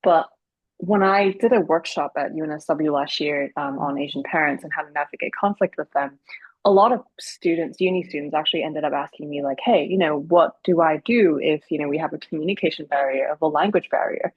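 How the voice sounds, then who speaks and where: A woman's voice: low voice, brisk, husky, no echo. A young woman from Hong Kong hosting a podcast as a psychologist, chatting with curiosity and lots of fillers. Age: young adult. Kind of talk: casual interview chat. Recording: dull, dry lo-fi sound.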